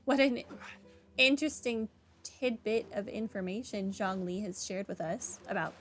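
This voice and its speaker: A woman's voice: mid-range voice, unhurried, fairly bright tone, soft background music. A woman giving casual gameplay commentary with wry asides. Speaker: gamer, playthrough commentator.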